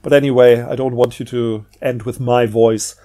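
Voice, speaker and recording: A man giving a casual, unscripted book review in a halting way. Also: medium pitch, flat delivery, mellow.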